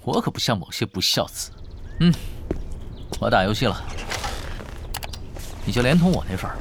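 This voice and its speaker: A man's voice: medium pitch, very animated, normal speed, gravelly, noisy background. An actor playing a laid-back, teasing young man in a casual, understated audio drama style. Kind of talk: Chinese audio drama acting.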